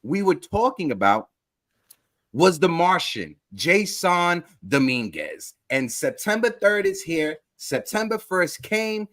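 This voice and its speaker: A man in his thirties hosting a casual sports talk livestream, giving upbeat shout-outs to viewers in the chat. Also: high voice, big pitch swings, slow pace. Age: 30s. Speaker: podcast host.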